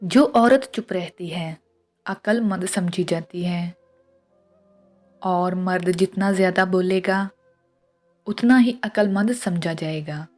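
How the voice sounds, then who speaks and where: A woman reads scripted tips in a measured, instructional way, as a narrator of short pieces of advice. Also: fairly low pitch, a little mellow. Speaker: narrator of household and health tips. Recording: voice-over with music behind. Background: music.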